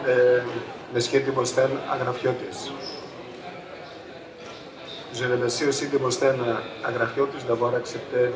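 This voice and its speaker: A man whose first language is Greek addresses an audience with a Greek accent. He speaks haltingly at a middle pitch, circling back over phrases. The sound is echoey and distant, with a busy fair crowd behind him. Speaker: photographer and panel speaker. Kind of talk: public talk at a book fair, introducing a debate. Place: busy book fair stand.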